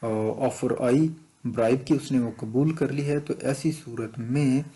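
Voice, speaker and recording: Man, Pakistani accent, mellow tone, slow delivery, methodical tutor explaining quiz answers. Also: medium pitch, steady tone. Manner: methodical, switches between Urdu and English. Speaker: law exam tutor. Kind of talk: explanatory lesson narration, reading and explaining quiz questions.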